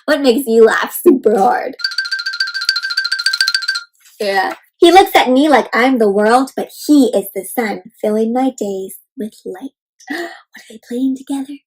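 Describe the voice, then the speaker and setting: A woman hosting an interactive read-aloud for children, speaking in an animated, child-directed way with questions and exclamations. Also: on the high side, a little slow, slightly rough, faint music behind, rough recording. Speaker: host reading a picture book aloud to children.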